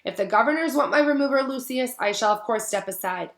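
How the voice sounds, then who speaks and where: A woman voicing an old, wise headmaster who speaks slowly, politely and clearly. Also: fairly high pitch, animated, pitched above the performer's own voice. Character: old wise headmaster, calm and firm man. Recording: echoey room.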